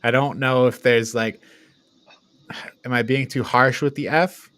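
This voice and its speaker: A man's voice: medium pitch, steady tone, measured pace, fairly bright tone, no room sound. Casual male review host, close dry sound. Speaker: host of a film ranking show.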